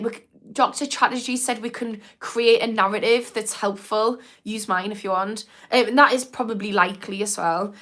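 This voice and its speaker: A woman's voice: medium pitch, fairly bright tone. A woman in her thirties with a mild Newcastle accent, chatting frankly on a livestream, advising viewers and reading out their comments. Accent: mild northern English (Newcastle). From Newcastle, England. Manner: frank, chatty, lots of "like" fillers. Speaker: mindset livestream host and former therapist.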